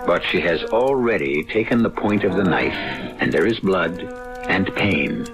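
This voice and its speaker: Deep, dramatic mystery narrator. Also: male voice, lively intonation. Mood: ominous. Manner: deliberate, wry, dramatic. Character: ominous, wry male storyteller of mystery tales. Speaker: host and narrator of the drama series. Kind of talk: old radio mystery narration. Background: dramatic music.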